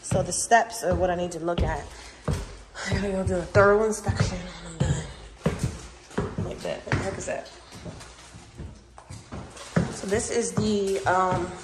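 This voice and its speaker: A woman chatting casually and informally to viewers and a companion as she films a house tour on a handheld phone. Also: medium pitch. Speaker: vlogger giving a house tour. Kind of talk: casual vlog chat while walking. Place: empty house rooms, indoors. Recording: very echoey empty rooms, noisy phone audio.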